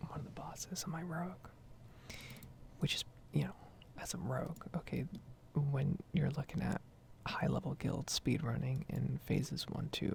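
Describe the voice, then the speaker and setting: Female ASMR gamer, breathy whisper with very heavy fry, casual and rambling, close-miked. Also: sits low, a little mellow. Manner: casual, rambling, mild swearing. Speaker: ASMR gaming streamer. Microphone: close microphone.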